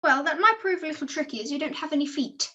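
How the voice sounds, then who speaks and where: A woman's voice: high-pitched, fast, bright, forceful tone, a little hiss or hum. A woman voicing a prim, pompous male puppet in a comedy show, clipped and formal, with muffled video call audio. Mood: smug.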